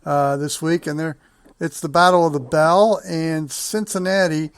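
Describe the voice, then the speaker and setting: A man's voice: fairly high pitch, steady tone, unhurried, clean tone, no room sound. A man hosting a sports show, running through matchups and results in conversation with a guest.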